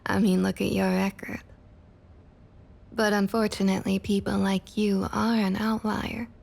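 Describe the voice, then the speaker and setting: A woman playing a smug, opportunistic vampire council member in an ASMR roleplay, with a soft breathy Southern US accent and coaxing, condescending sweet talk. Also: mid-range voice, level tone, unhurried, long pauses. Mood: smug, explaining. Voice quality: soft, breathy, slightly husky. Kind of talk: ASMR roleplay, intimate scripted monologue.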